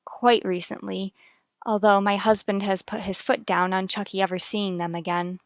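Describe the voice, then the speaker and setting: An actress performs a troubled, earnest mother from a vintage radio serial. Her woman's voice sits at a middle pitch in a very dry space. She confides carefully and hesitantly, choosing her words. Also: little pitch movement, moderate pace, dry recording, close to an everyday voice. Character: worried, earnest young mother confiding about her son. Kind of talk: vintage radio soap opera acting.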